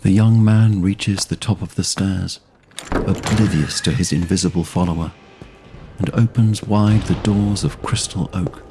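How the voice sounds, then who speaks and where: A man narrating a scripted fantasy audio drama in a measured, literary storytelling manner. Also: low-pitched, background noise.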